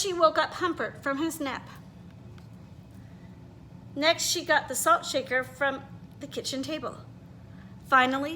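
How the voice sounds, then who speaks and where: A woman reading a children's picture book aloud in an expressive, animated way, pausing to put questions to her listeners. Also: sits high, slight background noise, poor audio. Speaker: read-aloud storyteller.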